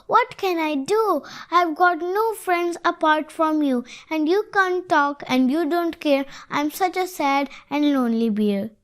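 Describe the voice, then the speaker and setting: A young storyteller voicing a great big lonely, silly bear in an emotional, gentle manner. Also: very high voice, sing-song, measured pace, voice pushed up, exaggerated voice. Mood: whimpering, sad.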